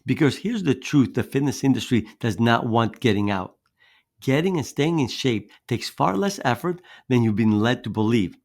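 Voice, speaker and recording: A man's voice: medium pitch, lively intonation, normal speed, darkish tone. A man in his fifties, raised in Greece, giving a persuasive motivational presentation on fitness with a storytelling manner. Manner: persuasive, storytelling, with occasional restarts.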